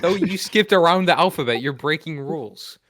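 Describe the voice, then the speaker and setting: A man's voice: a little high, lively intonation, a little fast, fairly bright tone, no echo, studio quality. A man co-hosting a podcast in casual, slangy banter, teasing his friends playfully as he steers the discussion.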